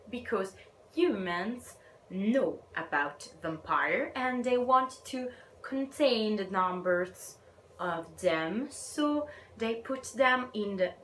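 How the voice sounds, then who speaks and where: A woman's voice: medium pitch, a bit bright. A young Italian woman with an Italian accent, shyly reviewing books in a hesitant vlog and trailing off into whispers. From Italy. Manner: slow, hesitant, shy, drops to a whisper at times. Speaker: book vlogger. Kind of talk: casual book review vlog. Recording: echoey room with faint ambient noise.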